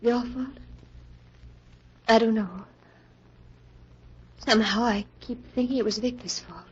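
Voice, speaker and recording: Husky, grave young woman, vintage radio. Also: fairly high pitch, measured pace. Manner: solemn, dramatic. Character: grave, anxious young woman, worried friend and storyteller. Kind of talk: 1940s radio acting. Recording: dull vintage 1940s radio recording.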